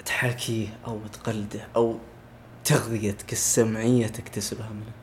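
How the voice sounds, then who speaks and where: A man's voice: fairly low pitch, little pitch movement, a little fast, a little hiss or hum. A man hosting a podcast, asking long, chatty questions. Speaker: podcast host. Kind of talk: casual interview.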